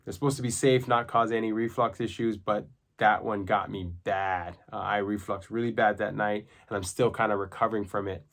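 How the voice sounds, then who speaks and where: A man's voice: fairly low pitch, steady tone, fairly quick, fairly bright tone. A man with a coarse, congested voice, explaining things in an earnest, plain-spoken way in a casual video diary. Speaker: vlogger documenting his own diet.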